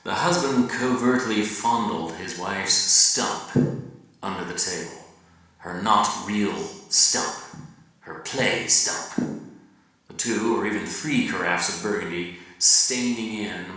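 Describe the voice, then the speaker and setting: A man giving a wry, theatrical dramatic reading of a novel's monologue at a live event, swinging from loud emphasis to hushed murmurs. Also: lowish voice. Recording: very echoey room, distant mic.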